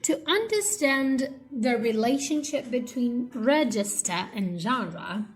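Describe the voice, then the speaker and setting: A woman reading an academic textbook aloud as scripted narration, with measured, deliberate phrasing in short segments. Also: a little high, animated, slight background noise, low-quality recording.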